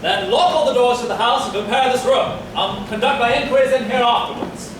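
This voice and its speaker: A man playing a brisk, courteous police inspector with formal, old-fashioned speech in a staged murder-mystery farce. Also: very high-pitched. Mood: businesslike. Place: theatre staged reading, distant mic. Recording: echoey hall from far off, noisy.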